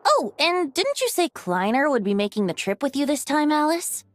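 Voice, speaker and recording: A carefree young male bard for an anime game. The voice is light and high with a lively lilt, impish and easygoing, over soft music. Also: moderate pace, no room sound. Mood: curious. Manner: airy, playful, easygoing.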